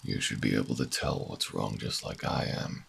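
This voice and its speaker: Extremely deep, gravelly older revolutionary. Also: male voice, fairly bright tone, heavily acted. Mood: bitter, anguished. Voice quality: very gravelly, husky. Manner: dramatic, brooding, halting monologue. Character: bitter older human revolutionary fugitive, defiant and theatrical. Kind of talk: audio roleplay drama acting.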